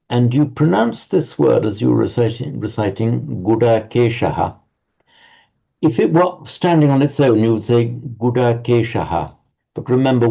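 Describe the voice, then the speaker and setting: A man's voice: medium pitch, gravelly, dark tone, husky, muffled recording. A man teaching an online language class, patiently correcting pronunciation and often stammering over his words. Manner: halting, stammering repeats, patient. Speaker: Sanskrit teacher.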